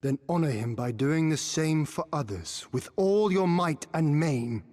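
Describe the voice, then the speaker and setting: An actor playing a young exiled prince in a video game, earnest and stoic, with formal archaic diction and a measured, oratorical delivery. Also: male voice, a little high, expressive, darkish tone, long reverb tail. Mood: stirring resolve. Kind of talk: cinematic video game voice acting.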